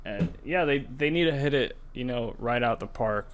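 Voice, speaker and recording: A man's voice: medium pitch, lively intonation, normal speed, smooth. A man co-hosting a gaming podcast, talking things over in a casual, conversational style. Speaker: podcast co-host.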